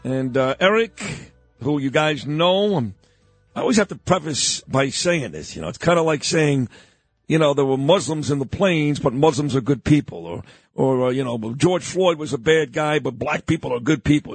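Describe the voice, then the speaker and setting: A man's voice: fairly high pitch, animated, a little fast, vocal fry, husky, no room sound, soft background music. A man hosting a morning radio show, talking in a rambling way with boastful asides. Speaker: radio host. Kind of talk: casual opinionated talk radio.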